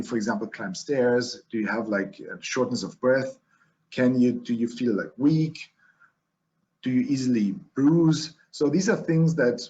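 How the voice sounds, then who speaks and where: A man with a German accent explains medical diagnosis over slides in an unhurried, teacherly way, often restarting his sentences and filling pauses. His voice sits at an ordinary middle pitch. The recording sounds slightly dull and lo-fi. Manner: explanatory, frequent restarts and fillers. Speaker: physician lecturer.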